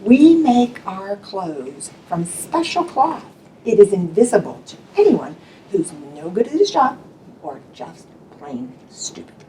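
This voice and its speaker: A woman voicing a sly male trickster who speaks in a hushed, secretive way. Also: medium pitch, very animated, slow pace, distant mic, slight background noise, voice pushed down. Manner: hushed, conspiratorial.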